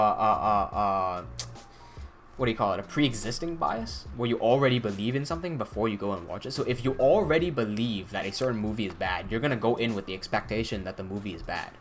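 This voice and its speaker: A man's voice: on the low side, fairly bright tone. A young man from Hong Kong, chatting casually on a live stream and answering chat questions with restarts and blunt swearing. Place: indoor room at desk.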